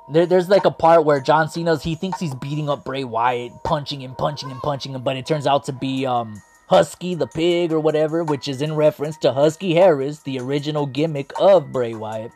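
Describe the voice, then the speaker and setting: An excited man, rambling through a wrestling recap and losing his voice as he goes. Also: fairly high pitch. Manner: hyped, rambling, heavy on "man" and "like". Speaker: wrestling show host. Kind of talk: excited casual commentary.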